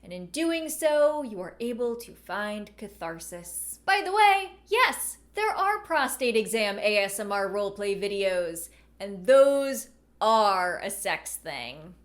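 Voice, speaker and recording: A woman's voice: mid-range voice, very animated, slow. A woman giving casual video essay commentary in a wry, bemused manner. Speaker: video creator and host. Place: at home, indoors.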